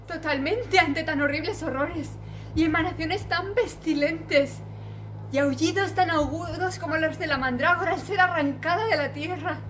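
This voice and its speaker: A woman's voice: high-pitched, fairly bright tone, slight background noise. A woman playing a frightened young noblewoman in a declamatory classical stage monologue, full of dramatic questioning. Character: frightened young noblewoman agonizing over dark fears. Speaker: amateur stage actress.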